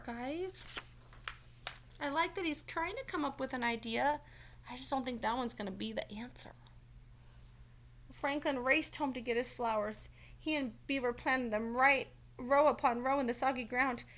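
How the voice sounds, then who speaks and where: Bright-voiced woman reading to children. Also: sits high. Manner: expressive, simple child-directed explaining. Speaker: teacher. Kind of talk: children's read-aloud with explanatory asides.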